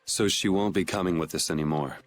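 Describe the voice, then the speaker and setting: A man acts out a young swordsman hero from a video game. His voice sits fairly low, and he talks in a blunt, offhand, dryly confident way. Light music plays behind him. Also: steady tone, a little fast, close to an everyday voice. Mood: casual, determined.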